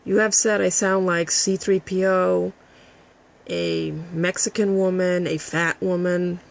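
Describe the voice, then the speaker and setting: Raspy, husky woman ranting. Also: lowish voice, level tone, slow. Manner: combative, profane ranting. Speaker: vlogger. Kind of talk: addressing a critic to camera.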